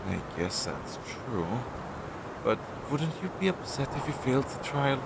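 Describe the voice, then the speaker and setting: Crow familiar, acted by a man, insecure and earnest, unhurried halting delivery, for fantasy audio roleplay; clearly noisy recording. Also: medium pitch, big pitch swings. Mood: worried. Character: anxious male crow familiar, insecure, tender, bonded to a witch. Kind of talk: audio roleplay addressed to the listener.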